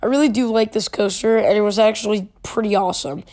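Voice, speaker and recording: A woman's voice: medium pitch, fast talker, darkish tone. Congested woman reviewing roller coasters. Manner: loose and chatty, many fillers and restarts. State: congested from being sick. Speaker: theme park video creator. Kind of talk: casual ride review voice-over.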